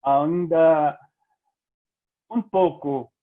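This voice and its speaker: A man's voice: a little high, steady tone. A man from Brazil speaking Spanish with a Brazilian Portuguese accent, giving a formal lecture and mixing in Portuguese words. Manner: slow, deliberate, mixes Portuguese into Spanish. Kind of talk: formal lecture over video call. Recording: tinny phone-like audio.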